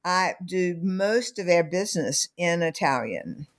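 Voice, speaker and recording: Slow, measured older Southern woman. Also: fairly low pitch, animated. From North Carolina. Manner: slow, measured, with many fillers and hesitations. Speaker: author and company founder. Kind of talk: interview conversation.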